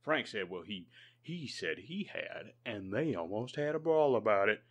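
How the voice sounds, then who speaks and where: A gentle reverend who lost his son played by a man in a radio play. His voice is low, and he speaks in a courteous, sentimental, slightly halting manner. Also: sing-song, muffled recording. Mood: fond, eager. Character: gentle, courteous bereaved reverend, doting father. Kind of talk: old-time radio drama acting.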